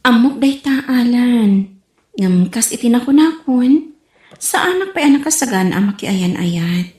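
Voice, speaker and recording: Hesitant, lively-pitched young woman, played by an actress. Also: measured pace. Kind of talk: comedy radio drama acting. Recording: roomy, echoing sound.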